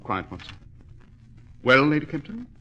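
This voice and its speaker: Incisive British gentleman detective, clipped and commanding, sharp-edged voice with sweeping intonation, old radio mystery. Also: fairly high pitch, huge pitch swings, normal speed, lo-fi sound, heavily acted. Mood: cool, insistent. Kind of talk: 1940s radio acting.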